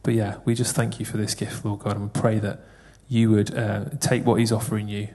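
A man's voice: on the low side, flat delivery, brisk, warm tone. A man praying aloud for someone in church, earnest, repeating phrases as he goes. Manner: earnest, repetitive prayer phrasing. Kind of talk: spoken prayer.

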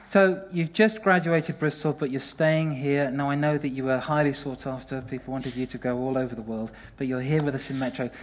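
This man is hosting a church service and interviews a young member in an upbeat, joking way. His pitch sits in the middle range, and there is a faint hiss behind his voice. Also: steady tone, a little fast, few pauses, crowd noise. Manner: jokey, upbeat.

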